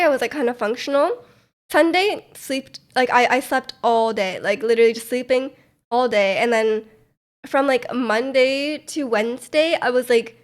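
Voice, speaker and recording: A young woman streamer with a stuffy, congested voice, chatting casually and rambling with lots of fillers. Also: fairly high pitch. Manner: rambling, many "like" fillers, soft laughs. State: congested, nasal from a cold. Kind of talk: casual chat to live chat.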